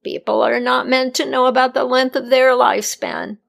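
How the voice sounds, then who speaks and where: A woman's voice: high-pitched, level tone, moderate pace, creaky, pitched above the performer's own voice. A woman playing Godmother Death, a grave old crone. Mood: solemn.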